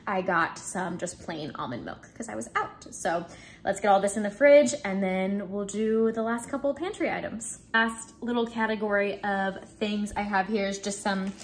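A woman in her twenties chatting casually in her home kitchen, relaxed and full of ums and likes, filmed by a camera in front of her. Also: mid-range voice, normal speed, slight background noise. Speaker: vlogger. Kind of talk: casual grocery haul chat. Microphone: camera in front, close framing.

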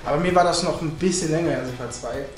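A young man chats casually as a host. He talks quickly in a medium-pitched voice. The room echoes around him and music plays behind. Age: young adult. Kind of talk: casual on-camera chat and interview. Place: indoor apartment room.

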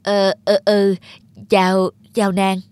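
A man playing a shy young peasant in love in a cartoon fairy tale, hesitant and soft-hearted. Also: high-pitched, completely flat delivery, takes it slowly, dry recording. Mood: shy, hopeful. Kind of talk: animated fairy tale acting.

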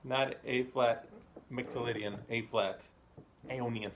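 A man in his thirties giving a casual music lesson at home, talking in a relaxed, chatty way with fillers and chuckles as he thinks aloud. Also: mid-range voice, slow, heavy background noise. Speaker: music teacher. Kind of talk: casual practice lesson between playing. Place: home room with bookshelves, indoors. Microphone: phone or camera on a stand nearby. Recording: home room recording with loud marimba. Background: marimba playing.

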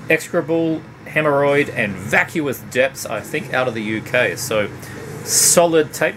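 A middle-aged man chatting casually about his records at home, relaxed and rambling, with many uhs and ums. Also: medium pitch. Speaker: vinyl collection vlogger. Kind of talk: casual record review chat. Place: home room lined with CD shelves. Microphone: camera at desk distance. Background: background hum, faint tape music.

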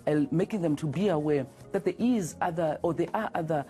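Middle-aged woman CEO guest, dark mellow tone, slow halting answers, lapel mic in a TV studio. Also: low-pitched, faint music behind. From South Africa. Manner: measured, halting with fillers and restarts. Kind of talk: TV morning show interview. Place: TV studio set.

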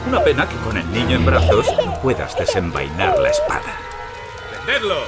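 An actor playing a gloating sheriff, taunting and menacing in a theatrical audio drama. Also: male voice, mid-range voice, huge pitch swings, moderate pace, over loud music. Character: gloating, sneering villain sheriff hunting outlaws. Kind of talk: dramatized audio fiction acting.

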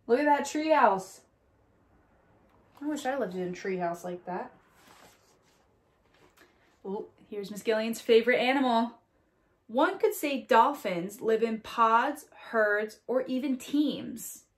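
Lady teacher doing a kids read aloud, highish voice, chats to the kids between lines. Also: expressive, slow, long pauses. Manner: addresses young listeners directly with questions and tag "right?". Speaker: teacher reading aloud to children. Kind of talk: children's picture book read-aloud with asides. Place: small indoor room at home or classroom corner.

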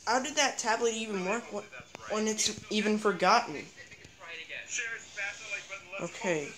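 A woman's voice: medium pitch, expressive, a little slow, fairly bright tone, low-quality recording. A woman filming a ghost-hunting vlog on a handheld phone inside a dim house, exclaiming and repeating herself as she looks around. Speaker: vlogger exploring a house. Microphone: handheld phone camera.

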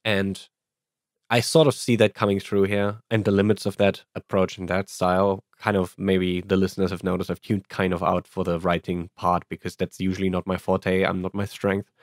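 A man with a low-pitched voice hosts a casual film podcast. He talks reflectively and wordily, and the sound is dry and close. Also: little pitch movement, normal speed, no room sound. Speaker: podcast host.

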